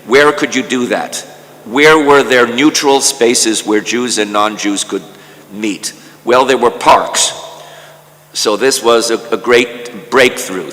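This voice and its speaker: An older man lectures at a conference in a slow, deliberate way, circling back with repeated words before finishing each point. His voice has a bright, forceful edge. The sound carries a long reverb from the conference hall. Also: medium pitch. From Canada. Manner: slow and measured, often repeating words as he restarts. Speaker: conference speaker. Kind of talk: academic lecture.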